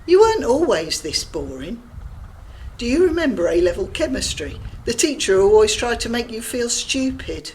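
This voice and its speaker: A woman's voice: fairly high pitch, very animated, normal speed, a little mellow, slight background noise, poor audio. A woman playing Gabby, a cheeky, provocative middle-aged friend who mocks and prods with blunt humour in an audio drama. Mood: teasing. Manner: teasing, blunt and mocking.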